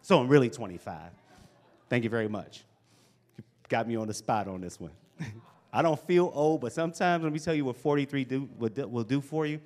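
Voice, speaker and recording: A man's voice: mid-range voice, fairly quick. A man in his forties talks to a room of young people, loose and chatty, nudging them to speak up. His voice sits in an ordinary middle register. He is on a handheld mic in a school hall. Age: 40s. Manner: lively, casual and encouraging, chatty asides. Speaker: motivational speaker. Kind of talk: live talk to a youth audience. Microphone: handheld microphone.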